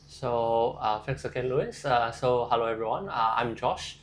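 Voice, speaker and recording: A man's voice: mid-range voice, normal speed. A young man from Hong Kong, answering interview questions casually and thoughtfully, with frequent fillers and restarts. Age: young adult. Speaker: interview guest.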